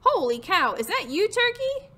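A woman's voice: on the high side, very animated, slow pace, bright, forceful tone, exaggerated voice. A woman voicing blunt, teasing barnyard animals from a children's storybook, with exaggerated calls and emphatic scolding.

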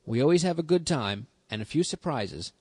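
A man reads a promo for his podcast in a bright, salesy pitch. The recording is dry and close. There is no room sound around his voice. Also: lively intonation, moderate pace, husky, no room sound. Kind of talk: scripted promo.

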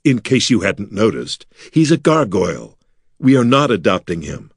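A hot-tempered, skeptical adventurer from a fantasy storybook, acted by a male narrator. His voice is fairly low and dry. He grumbles and hisses in irritation. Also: expressive, a little slow, no room sound. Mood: exasperated. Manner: hissing, grumbling.

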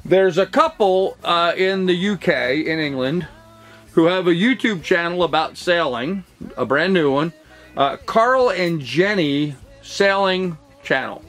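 A man's voice: sits high, animated, a little slow, fairly bright tone, soft background music. A middle-aged man who works as a real estate broker, talking casually to the camera in a cluttered home office, rambling with lots of fillers and restarts. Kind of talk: casual talking-head vlog.

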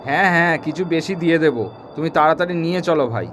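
A man's voice: fairly high pitch, racing, background noise, close to an everyday voice. A man playing a considerate, dutiful office worker in a cartoon, speaking politely and earnestly. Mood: urgent. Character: considerate, dutiful male office worker, an elder-brother figure. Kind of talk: Bengali cartoon voice acting. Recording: loud music bed over dialogue. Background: music.

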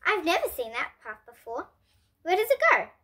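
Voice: very high voice, very animated, moderate pace, pushed and bright, pitched above the performer's own voice, full character voice. A child reader voicing a puzzled, good-natured dad from a storybook, hesitant and willing to go along. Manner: bemused, hesitant, going along.

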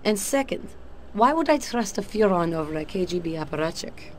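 A woman's voice: fairly low pitch, lively intonation, brisk, slight background noise, natural voice. An actress doing a female Russian KGB spy, Russian accent, cool dry delivery, sultry and lethal, game cutscene, faint ambience behind. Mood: earnest. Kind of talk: video game cutscene acting.

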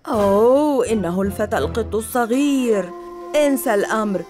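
A woman's voice: a little high, animated, normal speed, darkish tone, soft background music, lightly acted. A woman voicing a boastful young boy ninja villain in a cartoon dub, gloating and showy. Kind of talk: cartoon dubbing.

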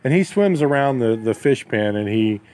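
A man's voice: medium pitch, lively intonation, normal speed, warm tone. A middle-aged or older man in casual conversation, telling things in a folksy, rambling way. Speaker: vlogger, expatriate farmer. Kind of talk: casual chat. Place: outdoors at a waterside building site. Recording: noisy outdoor recording, ambient background noise.

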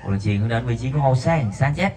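A man's voice: expressive, fast, darkish tone. Distant male amateur football commentator. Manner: reads viewer messages between plays, jumps sharply higher on shots. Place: outdoor floodlit five-a-side pitch at night.

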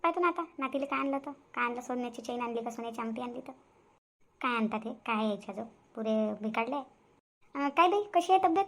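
A woman's voice: on the high side, expressive, a little fast. A woman voicing a proud, grumbling grandmother in a cartoon family drama, speaking with a Vidarbha Marathi accent. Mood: sneering, then boastful. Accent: Vidarbha Marathi (Varhadi). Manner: nagging, complaining, muttering asides. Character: proud, grumbling, boastful grandmother and mother-in-law.

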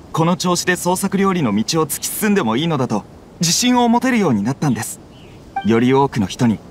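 An actor playing a friendly, earnest young food stall owner in a game. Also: male voice, a little high. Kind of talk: Japanese game voice acting. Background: game music.

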